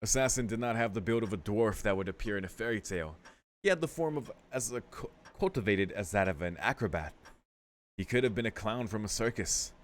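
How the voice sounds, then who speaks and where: A man with a fairly low voice reads visual novel text aloud for a let's play. He drifts between narration and casual, sweary asides, muttering his reactions as he goes. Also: expressive, fairly quick. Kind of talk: casual gaming commentary mixed with read-aloud narration.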